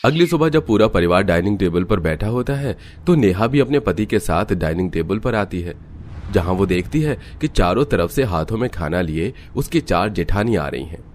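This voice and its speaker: A man's voice: deep voice, lively intonation, normal speed, slight background noise. A man reading scripted storytelling narration for a cartoon family tale.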